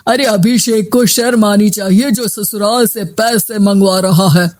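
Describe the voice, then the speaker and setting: A man's voice: sits high, fairly even intonation, unhurried, darkish tone. A man voicing a troubled, earnest family man in a moral story. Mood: disapproving. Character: troubled husband or stern father in a family story. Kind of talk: story voice acting.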